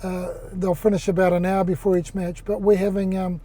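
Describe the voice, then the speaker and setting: A man with a New Zealand accent speaks in a mellow, fairly high voice. He talks with an older executive's easy, halting rhythm, full of fillers and restarts. He comes across as a relaxed guest in a casual interview.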